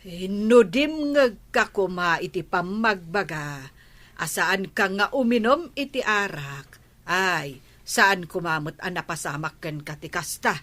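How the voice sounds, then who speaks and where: A woman's voice: fairly low pitch, lively intonation, unhurried, fairly bright tone. An actress playing a neighbor woman who lectures an injured young drinker in a radio drama. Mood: chiding. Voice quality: chiding, lecturing. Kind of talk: Ilocano radio drama acting.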